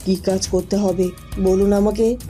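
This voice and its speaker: A woman's voice: mid-range voice, level tone, measured pace, mellow, faint music behind, close to an everyday voice. A woman voicing a scheming, impatient evil queen in a cartoon fairy tale, haughty and agitated.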